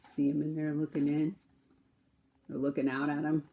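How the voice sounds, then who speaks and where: A woman's voice: low-pitched, flat delivery, moderate pace, gravelly, dark tone, slight background noise. A woman working as a school librarian, making casual asides to children during a picture-book read-aloud.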